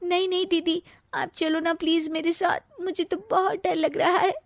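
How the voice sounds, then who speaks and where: A woman's voice: very high-pitched, level tone, moderate pace, pitched above the performer's own voice. A woman voicing a timid, aggrieved but playfully scheming young sister-in-law in a comic family story. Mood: fearful pleading.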